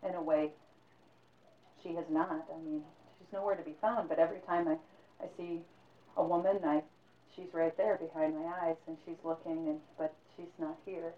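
A woman voicing a bewildered, cursed young man who speaks quietly and in a daze. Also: low-pitched, steady tone, normal speed, close to an everyday voice. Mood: dazed. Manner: hushed, dazed and worried. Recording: tinny phone-like sound, roomy echo.

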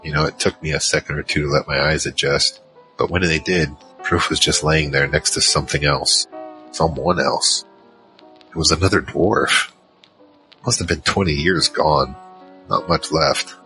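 A man's voice: extremely low pitch, steady tone, normal speed, sharp tone, rough recording. A man playing a battle-worn gunfighter who narrates his own story in an audio drama, terse and world-weary. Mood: grim, drained. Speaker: narrator and lead character. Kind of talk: first-person noir-like audio drama narration. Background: music.

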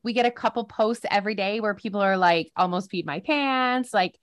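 A woman in her thirties co-hosting a podcast, teasing and excitable, saying "like" a lot. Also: medium pitch, fairly quick. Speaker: podcast co-host. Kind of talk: casual podcast chat.

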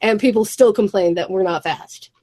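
A woman in casual conversation, sounding sick and slightly gravelly, telling stories animatedly and acting out exchanges. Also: medium pitch, normal speed, no room sound. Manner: animated storytelling, acts out exchanges. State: sick, voice deeper and more gravelly than usual. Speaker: podcast guest, small business owner who ships orders. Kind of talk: casual chat.

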